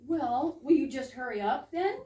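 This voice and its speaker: High, swooping, exasperated older sister, acted by a woman. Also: expressive, moderate pace, warm tone. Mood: prim, irritated. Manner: indignant, huffy. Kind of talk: amateur stage readers theater, 1920s comedy. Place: live reading in a roomy indoor space. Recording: echoey room, distant mic.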